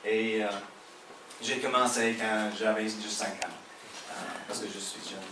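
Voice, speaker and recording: A man's voice: on the low side, fairly even intonation, noisy background. American guy preaching in french w/ quebec twang, lots of euhs, far off in a big echoey church. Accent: American with Québécois French. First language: English. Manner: halting, many filler sounds, jokes with the audience. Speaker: pastor. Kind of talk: sermon. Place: church hall. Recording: echoey hall heard from a distance.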